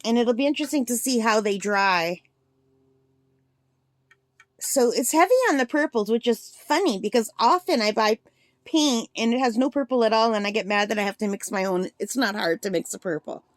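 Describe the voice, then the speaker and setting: Chatty, gushing woman, slightly gravelly. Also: a little high, moderate pace, dry recording. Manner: chatty and gushing, thinks aloud. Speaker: art supply reviewer. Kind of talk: casual swatching demo.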